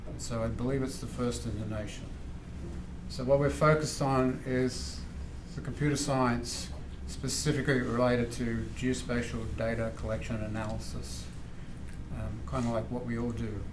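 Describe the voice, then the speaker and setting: A man's voice: mid-range voice, level tone, normal speed. A middle-aged man with an Australian accent giving an informal academic seminar talk as a visiting professor. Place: indoor university seminar room. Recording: echoey lecture room, clear background noise.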